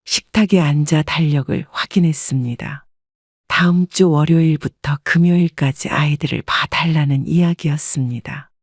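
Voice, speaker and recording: A woman's voice: sits low, a little mellow, no room sound, studio quality. A woman reading a scripted first-person story as an audiobook narrator.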